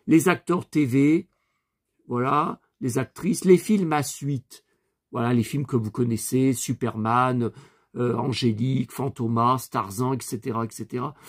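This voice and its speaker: A man's voice: fairly high pitch, no echo, clean studio sound. A man in his fifties, a collector vlogging casually, rambling with many fillers as he talks straight to his viewers. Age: 50s. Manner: indignant and animated when riled. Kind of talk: casual screen-share vlog.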